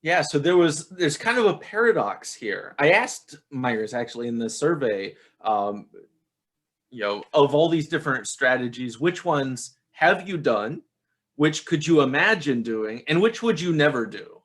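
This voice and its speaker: A man answering interview questions about his research in a measured, explanatory way, with frequent fillers. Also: on the high side, animated, a bit bright.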